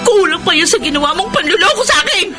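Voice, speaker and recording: A betrayed girlfriend in a radio drama, extremely high and shrill. She shouts accusations through tears, with loud music behind her. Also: animated, fast talker. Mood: outraged, accusing. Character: furious betrayed young girlfriend confronting her cheating boyfriend.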